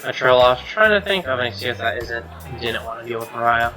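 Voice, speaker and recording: A man's voice: mid-range voice, animated, brisk, sharp tone, faint music behind. A man reading a visual novel aloud as narration, with offhand reactions of his own.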